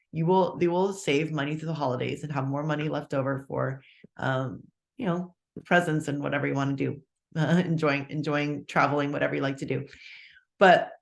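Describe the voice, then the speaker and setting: A person in their fifties giving a casual, chatty live sales pitch for a meal plan on a webinar, walking through the prices step by step and checking in with "okay?", upbeat about their own results. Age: 50s.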